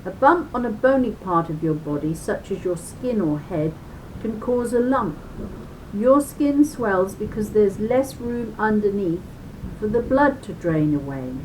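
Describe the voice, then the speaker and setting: Older lady reading a kids booklet out loud, really slow and teachery, bit of rumbly background noise. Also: animated. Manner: deliberate, instructive, speaking as if to children. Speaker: reader of a children's health booklet. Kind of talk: read-aloud of a children's booklet with chatty personal asides. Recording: noisy home recording with low rumble.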